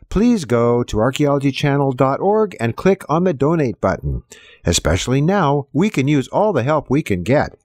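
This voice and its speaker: A man's voice: mid-range voice, a little mellow, no room sound. A man reading a scripted introduction and announcements for a news program.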